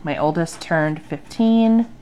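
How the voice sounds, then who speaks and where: A woman's voice: deep voice, slow, mellow, a little hiss or hum. A woman in her thirties with a nasal voice from a sinus infection, chatting casually through her journal at a home desk with lots of ums. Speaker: bullet journal vlogger. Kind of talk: casual chat. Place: home desk under an overhead light.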